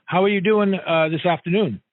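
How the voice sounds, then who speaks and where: A man hosting a casual radio interview, haltingly forming his questions and repeating words as he goes. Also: sits high, no room sound. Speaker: radio show host, interviewer. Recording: narrow, dull phone-like sound.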